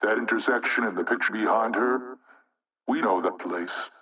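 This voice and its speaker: An actor playing an anonymous male hacktivist spokesman in a video game propaganda broadcast, speaking in a measured, rhetorical, insinuating way with menace. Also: on the low side, bright, forceful tone, recorded from a distance, poor audio. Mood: mocking menace.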